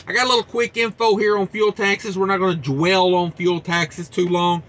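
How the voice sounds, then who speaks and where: A man's voice: sits high, steady tone, moderate pace, fairly bright tone, a little hiss or hum. A man hosting a trucking news show, reading stories and rambling into joking asides. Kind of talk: casual news commentary.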